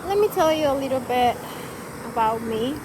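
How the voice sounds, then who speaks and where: Young woman from cuba, higher voice, rambly and honest, lots of "like". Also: lively intonation, moderate pace, background noise. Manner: candid, rambling, many fillers and restarts. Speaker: vlogger. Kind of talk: personal talk to camera.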